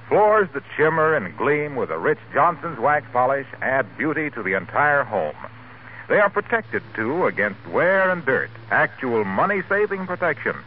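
Animated American radio announcer. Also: male voice, mid-range voice. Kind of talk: 1940s sponsor read, scripted. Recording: muffled, noisy 1940s radio recording.

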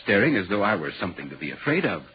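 Inquisitive reporter, expressive old radio voice. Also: male voice, on the low side, very fast. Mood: curious, polite. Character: inquisitive, polite London newspaper reporter recounting an eerie tale. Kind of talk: 1940s radio drama narration and dialogue. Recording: dull, hissy 1940s radio recording.

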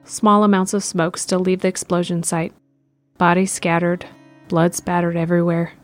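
A woman's voice: on the low side, flat delivery, normal speed, faint music behind. A woman narrating a scripted audio drama in the present tense, describing the action.

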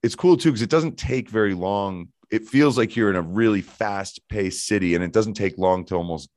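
Deep-voiced podcast host, dry mic. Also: male voice, moderate pace, no room sound. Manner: relaxed, enthusiastic, frequent restarts. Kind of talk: casual interview chat.

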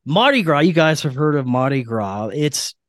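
Man, podcast guest, casual conversational delivery, crisp tone, close dry sound. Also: fairly high pitch, expressive, moderate pace, no echo. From Louisiana.

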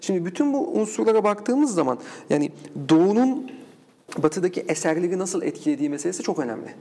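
Male guest expert, rapid emphatic conversational delivery, recorded in a reverberant space. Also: sits high, expressive, creaky, warm tone. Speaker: guest researcher of folklore and culture. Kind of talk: conversational interview explanation. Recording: echoey hall-like reverb.

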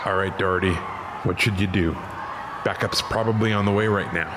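A man playing a tough, foul-mouthed police officer in an audio drama, urgent, gruff and worried. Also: low-pitched, fairly even intonation, brisk, close to an everyday voice. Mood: anxious resolve. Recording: noisy city street with busy crowd. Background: street ambience and crowd.

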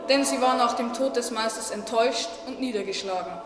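A woman's voice: fairly high pitch, brisk, natural voice. A woman playing a cheeky, vain female butterfly in a German radio play. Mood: earnest. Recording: distant echoey hall, faint noise.

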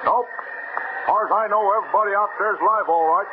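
A man plays a folksy small-town driver in a 1950s radio drama, high in pitch and deadpan. He talks in laconic rustic phrases with a wry, ominous edge, on a tinny, noisy old recording. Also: brisk, close to an everyday voice. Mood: wary, ominous. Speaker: supporting radio actor.

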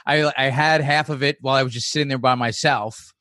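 A man's voice: mid-range voice, fairly even intonation, a little fast, sharp tone, no room sound, clean studio sound. A man chatting casually as a podcast guest, informal and inclined to repeat himself. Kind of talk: casual chat.

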